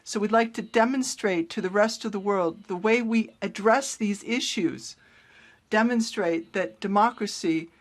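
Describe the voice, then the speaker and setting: A woman giving a live interpretation of a speech, her delivery halting and broken into short phrases. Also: mid-range voice. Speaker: simultaneous interpreter.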